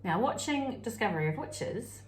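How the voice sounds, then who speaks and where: A woman's voice: a little high, normal speed. Kiwi woman telling stories, echoey room. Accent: New Zealand. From New Zealand. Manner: animated storyteller, laughs and exclaims often. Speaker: podcast co-host. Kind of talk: casual chat.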